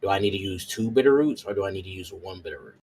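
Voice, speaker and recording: A man's voice: low voice, animated, very fast, darkish tone, a little hiss or hum. A man playing a tabletop roleplaying game, chatting casually and hesitantly as he thinks aloud. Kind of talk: casual chat during a game.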